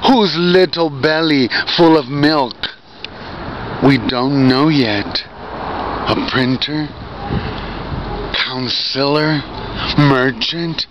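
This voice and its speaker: A middle-aged man giving a dramatic poetry reading in deliberate, theatrical, separated phrases, recorded outdoors on a handheld camera close to his face. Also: a little high. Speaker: poet and performance artist. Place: outdoors by bare trees. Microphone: camera held very close at arm's length. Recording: dull lo-fi outdoor recording, loud ambient noise.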